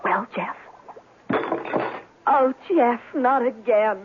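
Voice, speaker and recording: An actress in a 1970s radio drama playing a devoted, encouraging lab assistant, earnest and precise. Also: female voice, fairly high pitch, extremely slow, phone-quality sound. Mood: dismayed. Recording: thin vintage radio with faint noise.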